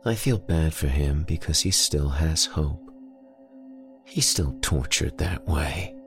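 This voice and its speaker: A man voicing an eerie young woman patient who talks softly, slowly and sleepily. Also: a bass voice, animated, vocal fry, faint music behind, full character voice. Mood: dreamy. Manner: soft, slow, sleepy.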